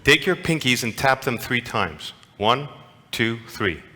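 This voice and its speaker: A man's voice: medium pitch, animated, unhurried, pushed and bright. Playful man lecturing in a reverberant hall. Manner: playful, engaging, asks the audience questions. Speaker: conference lecturer. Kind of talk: interactive lecture to an audience.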